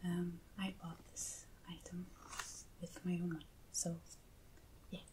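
A woman hosts an ASMR video in a breathy, gentle whisper. She takes her time, with slow, hushed phrases. A clear hiss sits behind her close-miked voice. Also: lowish voice, little pitch movement. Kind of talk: soft ASMR unboxing talk. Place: small indoor set at a table. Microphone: close ASMR microphone.

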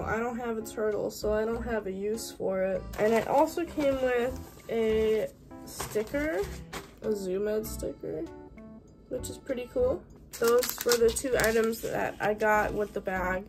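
A woman, talking casually to camera as a vlogger. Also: fairly high pitch. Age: 20s.

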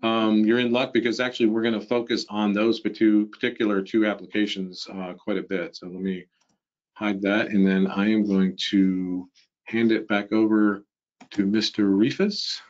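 A man's voice: fairly low pitch, fairly even intonation, moderate pace, a little mellow. A man hosting a webinar in a casual presenting style, heard over a video call. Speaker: webinar host. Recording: dull, lo-fi computer audio.

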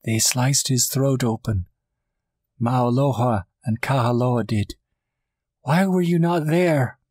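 A man's voice: mid-range voice, fairly even intonation, takes it slowly, darkish tone, slightly rough, no echo, studio quality, pitched above the performer's own voice. A man voicing frantic, grief-stricken island women in an audiobook story, urgent and distraught. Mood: hysterical, horrified.

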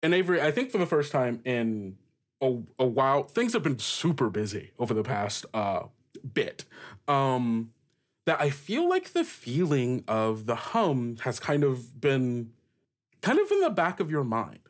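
A man working as game master, improvising tabletop narration in a halting way as he searches for words. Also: mid-range voice, huge pitch swings. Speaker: game master, podcast host.

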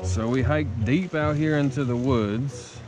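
Slow-paced American man talking outdoors. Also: mid-range voice, over music. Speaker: outdoor vlogger. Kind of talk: casual first-person vlog commentary. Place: outdoors in woods beside a river. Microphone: body-worn action camera.

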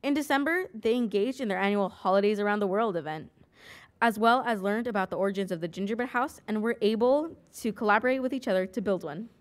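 A young student council president reading a prepared school report aloud at a desk microphone in a large meeting hall. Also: female voice, medium pitch, fast. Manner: reads aloud from a script, even and careful.

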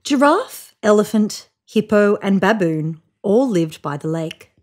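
A woman in her thirties reading a children's picture book aloud on a sofa, with voiced sound effects and hushed whispers. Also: mid-range voice, animated, unhurried. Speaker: storybook reader. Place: indoors on a sofa.

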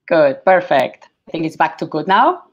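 A woman's voice: low-pitched, moderate pace. A woman hosting a live video interview through a headset, chatty and a bit flustered. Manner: chatty, excitable, a little flustered. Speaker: host. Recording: dull lo-fi call audio.

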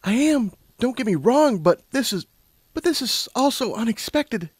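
A man's voice: very high-pitched, expressive, moderate pace, husky, dry recording, pitched above the performer's own voice, full character voice. A man voicing an anxious, sceptical young female unicorn pony with a hesitant, questioning, earnest delivery. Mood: unsure, apologetic.

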